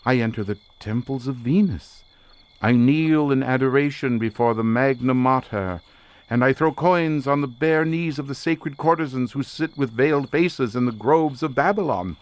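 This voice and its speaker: An actor playing an obsessed researcher lost in a drugged visionary trance, speaking theatrically and haltingly in an audio horror drama. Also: male voice, medium pitch, lightly acted. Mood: grand, rapt. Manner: theatrical, incantatory, halting with repeated words.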